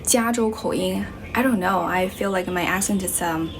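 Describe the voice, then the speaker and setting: A woman's voice: lowish voice, moderate pace, vocal fry, noisy background. A young Chinese woman teaching English on a livestream, with a Chinese accent and a slight American tinge, chatting casually with lots of fillers. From Guizhou province, China. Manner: relaxed, chatty, many fillers like "you know" and "um". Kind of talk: casual livestream chat answering viewers.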